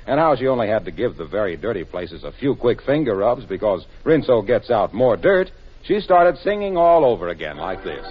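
Animated, husky male radio announcer. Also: on the low side, normal speed. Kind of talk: 1940s radio announcing, scripted narration. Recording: dull 1940s radio recording.

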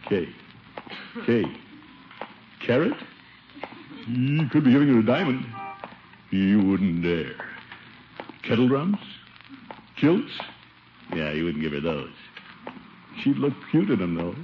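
An actor playing a pompous, scheming middle-aged bachelor uncle in a blustery 1950s radio comedy style. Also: male voice, on the low side, very animated, slow, pauses often. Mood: brooding, scheming. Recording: noisy, muffled 1950 radio broadcast.

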